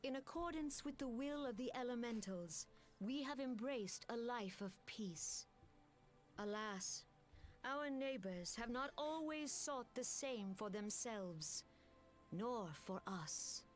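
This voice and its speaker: A stately woman commander speaks with grave, formal weight. Her voice sits a little high and stays measured throughout. Soft game music plays underneath. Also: expressive. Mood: solemn. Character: solemn woman commander addressing her people. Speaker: supreme military commander. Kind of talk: video game cutscene voice acting.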